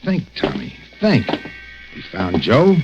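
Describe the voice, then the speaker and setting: An American actor playing a brusque, determined police detective in a 1940s radio crime drama, with clipped, slangy, commanding talk. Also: male voice, fairly low pitch, wildly swooping pitch, takes it slowly, muffled recording, exaggerated voice. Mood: thoughtful, determined. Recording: vintage radio recording with music behind.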